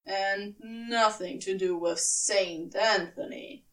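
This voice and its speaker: A dry, cool male character performed by a woman, drawling his words very slowly and archly. He teases with restraint, as a figure in a narrated story, a little off the mic. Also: mid-range voice, fairly even intonation, a bit bright, recorded from a distance, voice pushed down, rough recording. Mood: arch teasing. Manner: arch, drawling. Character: dry, teasing, cool male companion of an angel.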